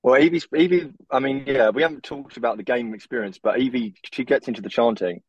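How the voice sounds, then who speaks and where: A man's voice: mid-range voice, fast, a little mellow, slightly rough, dry recording, dull audio. A man in casual chat, telling a story in a chatty, amused way.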